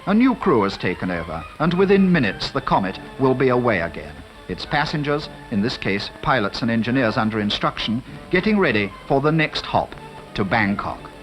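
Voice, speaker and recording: A man's voice: medium pitch, sing-song, normal speed, rough recording. A man with a British accent giving formal, scripted 1950s newsreel narration. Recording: archive newsreel voice-over with music behind.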